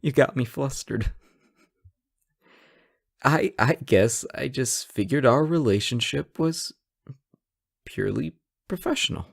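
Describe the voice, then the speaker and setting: A man's voice: mid-range voice, expressive, slow, gravelly, long pauses, husky, no echo, clean studio sound, heavily acted. A young man playing a playful superhero smitten with his nemesis in a romantic roleplay audio, teasing and easily flustered. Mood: flustered, shy. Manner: playful, stammering when shy. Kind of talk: romantic roleplay audio acting, addressed to listener.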